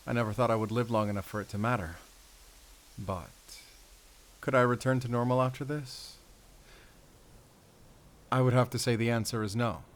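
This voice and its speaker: A man playing a battle-worn, calm and wry werewolf hunter in an audio roleplay, speaking deliberately in short, paused phrases with quiet tenderness. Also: mid-range voice, close to an everyday voice. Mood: pensive, tender. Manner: measured, deliberate, pausing between short phrases. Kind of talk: cinematic audio roleplay acting.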